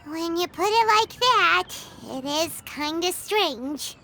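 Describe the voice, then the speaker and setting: Squeaky excitable fairy sidekick. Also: female voice, slow pace, full character voice. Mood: puzzled. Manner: squeaky, exclaiming, childlike. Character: tiny high-voiced female fairy companion, excitable. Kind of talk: video game voice acting. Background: game music.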